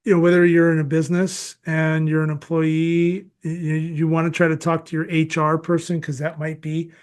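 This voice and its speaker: A man with an American accent hosting a casual interview, speaking thoughtfully and haltingly. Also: fairly high pitch, monotone, darkish tone, smooth. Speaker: podcast host.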